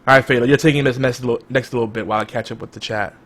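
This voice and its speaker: A man hosting a casual podcast chat, talking in a hyped-up, loud, energetic way. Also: medium pitch, racing. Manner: energetic, self-described loud and hype. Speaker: podcast host.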